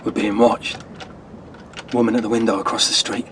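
A man playing a rough, hot-tempered Tudor assistant, blunt and forceful in a radio drama. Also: lowish voice, little pitch movement, moderate pace, very noisy, natural voice. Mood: muttering. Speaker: lawyer's assistant. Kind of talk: full-cast radio drama.

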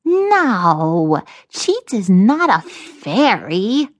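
A boastful little male elf from a children's storybook, acted out by a woman, pitched high and full of himself. His delivery swings wildly up and down as he exclaims, drawing his words out slowly. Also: wildly swooping pitch, no room sound, exaggerated voice. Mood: curt, proud. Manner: talks of himself in third person, exclaiming. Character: boastful, excitable male elf, small and big-eared.

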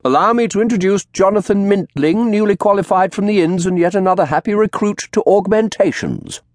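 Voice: mid-range voice, brisk, darkish tone, dry recording, studio quality, pitched above the performer's own voice, close to an everyday voice. A man voicing a sneering, arrogant lawyer in a historical audiobook, with a mocking, theatrical manner.

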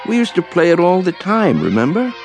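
An actor playing a gentle, hopeful elderly man in a radio drama, courtly and mild in speech. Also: sits high, wildly swooping pitch, brisk, soft background music, heavily acted. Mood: pleased, encouraging. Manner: courtly, mild, well-spoken.

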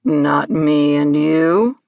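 Woman acting a low-voiced, imperious old hag. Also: extremely slow, creaky, no room sound, highs cut off, exaggerated voice. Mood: imperious, demanding. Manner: pompous, interrogating, theatrical. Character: imperious, horrid invisible hag demanding answers, female.

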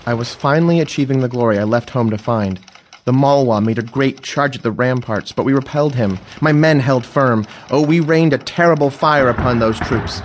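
An actor playing an earnest young blinded officer in an audio drama, formal, articulate and heartfelt. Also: male voice, mid-range voice, moderate pace, slight background noise, close to an everyday voice. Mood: anguished, proud. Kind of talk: full-cast audio drama acting.

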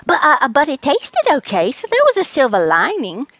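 A woman's voice: high voice, huge pitch swings, moderate pace, fairly bright tone, no room sound, lo-fi sound, voice pushed up, exaggerated voice. A woman voicing an anxious, eager male cat, the hesitant and earnest hero of a children's storybook. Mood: sad, awkward.

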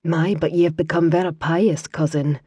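Brief: woman narrator doing a soft-spoken, sultry Scottish healer lass, gently teasing, hushed and low, storybook read. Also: normal speed, no room sound, natural voice. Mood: wry.

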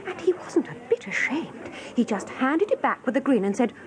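Radio drama: genteel, eager English office gossip, lively highish voice with a little background noise. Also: female voice, moderate pace. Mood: scandalised. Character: prim, eager, gossipy female office secretary. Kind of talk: British radio drama acting.

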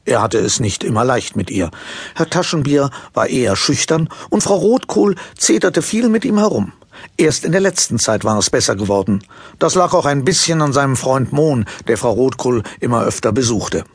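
A man narrating a children's story from a script in an expressive storytelling manner. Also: mid-range voice, moderate pace, breathy edge, no echo.

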